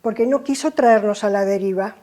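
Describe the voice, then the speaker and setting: An older woman from Italy recalling her past in a soft, hesitant, emotional way. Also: medium pitch, a little fast. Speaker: interviewee. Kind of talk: documentary testimony.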